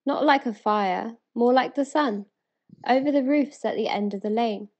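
Eager, high-voiced British townswoman acted by a woman. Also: normal speed, muffled recording. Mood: curious. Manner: earnest, excited, pleading. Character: eager, wonder-struck townswoman of ancient Bethlehem. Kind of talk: dramatic spoken-word verse reading.

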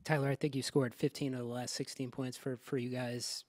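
A man's voice: mid-range voice, flat delivery, fairly quick, a little mellow, no room sound. A man asking conversational questions as a reporter at a postgame press conference.

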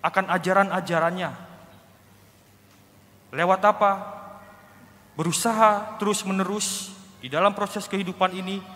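Bright-voiced young male deacon preaching. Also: high-pitched. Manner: conversational preaching with rhetorical questions and slang asides. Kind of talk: Sunday homily. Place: large church, at the pulpit. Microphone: pulpit microphone. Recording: church hall reverb.

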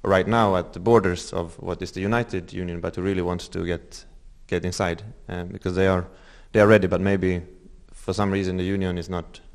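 A young man with a Swedish accent, making an earnest, halting argument in a group discussion on a handheld mic. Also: deep voice, little pitch movement, creaky. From Sweden. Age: young adult. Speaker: panel participant. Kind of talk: discussion contribution. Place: medium indoor room with seated audience. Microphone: handheld microphone.

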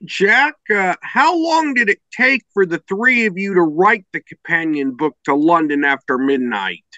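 A man's voice: high voice, lively intonation, measured pace, pushed and bright, no echo, lo-fi sound. A man hosting a podcast, putting interview questions to his guests.

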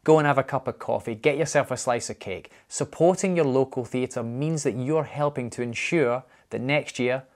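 A man's voice: mid-range voice, normal speed, hardly any pauses. A young man delivering a scripted piece to camera as a presenter.

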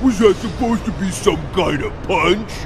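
Male for hulking, dim game henchman bird: gruff, taunting, pitched fairly high, music underneath. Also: moderate pace. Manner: bullying, taunting. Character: big brutish dim-witted male cartoon albatross henchman. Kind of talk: video game cutscene acting.

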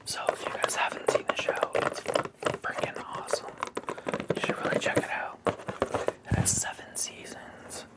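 A man in his thirties whispering casual, enthusiastic fan chat for ASMR, close to the mic in a room at home. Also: moderate pace. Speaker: ASMR video creator showing a collection. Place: small living room indoors.

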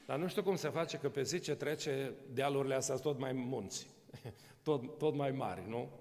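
Older guy preaching at a podium mic, gravelly voice, big church echo, keeps asking the crowd stuff. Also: medium pitch, darkish tone. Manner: conversational preaching with rhetorical questions and humor. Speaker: pastor. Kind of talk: sermon. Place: large church hall. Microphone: podium microphone. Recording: long church reverb.

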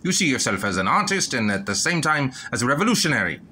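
A man's voice: mid-range voice, big pitch swings, fast talker, sharp tone. Male actor as self-assured Soviet official, Russian accent, pointed and challenging, period film drama, slight noise on the recording. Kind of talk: historical film drama acting.

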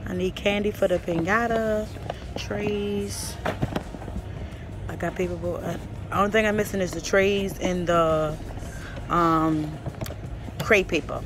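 A young woman talking casually while she shops, often muttering to herself, filmed on a phone held low at arm's length in a large discount store. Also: fairly even intonation, slow pace, pauses often. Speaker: vlogger. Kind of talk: casual shopping vlog. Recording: noisy store recording.

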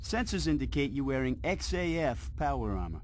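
A man's voice: medium pitch, big pitch swings, a little fast, soft background music, natural voice. An actor playing an easygoing, helpful male training instructor in a video game, informal and instructional. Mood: friendly. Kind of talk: video game tutorial voice acting.

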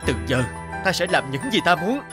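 Proud young folktale sculptor, frustrated, earnest, raised pitch, very expressive, musical backing. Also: male voice, moderate pace, music in the background. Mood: indignant, bitter. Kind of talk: cartoon folktale acting.

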